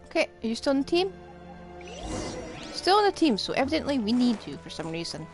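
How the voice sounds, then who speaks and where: Lively woman streamer muttering through gameplay. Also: a little high, a little fast, music in the background. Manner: mutters to herself while playing. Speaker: game streamer. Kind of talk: casual live gameplay commentary.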